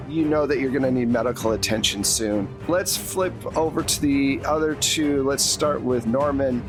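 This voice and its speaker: A man with a medium-pitched voice narrates a tabletop roleplaying session as game master. He addresses players directly and paints each scene vividly in a conversational way. Music plays clearly behind him. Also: normal speed. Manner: conversational, vivid second-person description. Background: background music.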